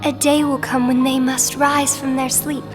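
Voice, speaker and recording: A woman voicing a gentle, wistful teenage girl in a video game cutscene, speaking softly and earnestly. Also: high voice, little pitch movement, normal speed, natural voice. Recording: game audio over loud music. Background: music.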